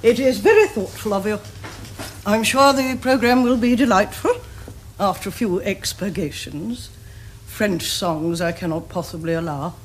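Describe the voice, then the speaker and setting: An actress playing a grand, disapproving middle-aged society aunt with an upper-class English accent, pompous and precise. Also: female voice, medium pitch, normal speed, close to an everyday voice. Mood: gracious, disapproving. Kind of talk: period stage comedy acting. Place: furnished studio drawing-room set. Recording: noisy old TV drama recording.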